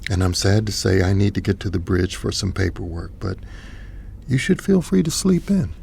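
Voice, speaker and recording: A man playing a suave, smitten cruise ship captain with a gravelly voice, languid and flirtatious, in an audio drama. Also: low-pitched, animated, normal speed, a little mellow, slight background noise, heavily acted. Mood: flirty, tender. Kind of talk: audio drama comedy acting.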